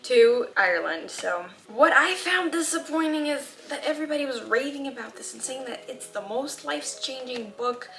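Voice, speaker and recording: A young woman vlogging in English, which is not her first language. Her voice is bright and sharp, and she chats candidly with plenty of fillers. She is recorded in a roomy space, with faint music underneath. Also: high-pitched, expressive, moderate pace, few pauses. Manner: chatty and candid, many fillers. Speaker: book vlogger. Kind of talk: casual chat to camera. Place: room at home.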